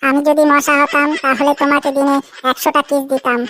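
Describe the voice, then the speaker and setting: A woman's voice: high-pitched, little pitch movement, fairly quick, a bit bright, a little hiss or hum. A woman voicing a coy, demanding young girlfriend in a Bengali comedy cartoon, whiny and pleading, often repeating herself.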